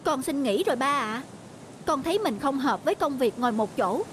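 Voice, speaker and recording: A woman's voice: high voice, expressive, normal speed, background noise. A woman voicing an earnest, respectful young man, a devoted fisherman's son in a cartoon folk tale. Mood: earnest, hopeful.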